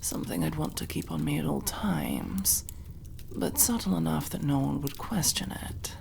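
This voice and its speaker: A woman playing a mocking, menacing elf interrogator in an ASMR roleplay, gravelly, drawing out taunts and dropping into breathy whispers. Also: low voice, expressive, moderate pace, darkish tone, heavily acted. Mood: smug, menacing.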